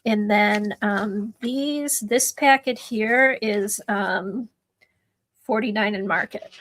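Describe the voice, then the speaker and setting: A middle-aged woman with a soft voice, chatting slowly and quietly while she works on an art journal during a livestream. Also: medium pitch, no room sound, low-quality recording. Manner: soft-spoken, slow, drifting into murmurs while working. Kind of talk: casual livestream chat while crafting.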